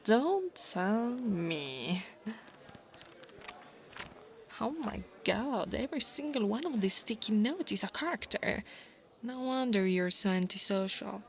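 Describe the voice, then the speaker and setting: The haughty dragon girl who corners the class nerd in a monster roleplay audio, acted by a woman, insecure under slow, drawling taunts in a highish voice. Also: gravelly, heavily acted. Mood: mocking. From Italy. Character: insecure, mocking young female dragon bully. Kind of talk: ASMR roleplay.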